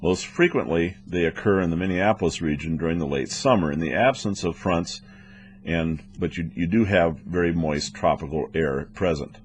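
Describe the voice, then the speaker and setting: A man's voice: low-pitched, moderate pace. A man reading scripted training narration as an instructor, in a methodical, explanatory manner. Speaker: weather instructor for air traffic controllers.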